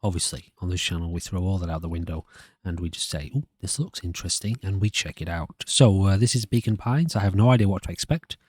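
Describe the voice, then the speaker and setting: A man's voice: low-pitched, fast talker, a little mellow, no room sound, studio quality. A man hosting a gaming channel, giving casual, chatty let's-play commentary. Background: guitar music.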